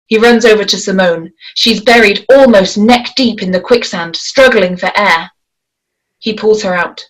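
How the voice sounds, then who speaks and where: A woman narrating stage directions at a table read, in a measured, descriptive way. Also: mid-range voice, rough recording.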